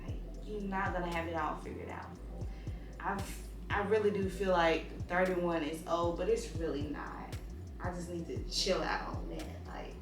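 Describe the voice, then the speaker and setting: A woman in her thirties hosting a casual chat, animated and slangy, laughing often. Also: fairly low pitch, normal speed. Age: 30s. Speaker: host. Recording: roomy sound from a distance with music.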